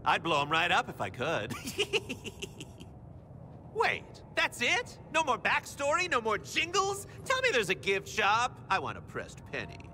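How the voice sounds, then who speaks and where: Game villain role: mocking young psychotic clown, high sharp voice that swings wildly. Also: male voice, slight background noise, exaggerated voice. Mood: whiny glee. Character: flamboyant, gleefully sinister younger male clown villain.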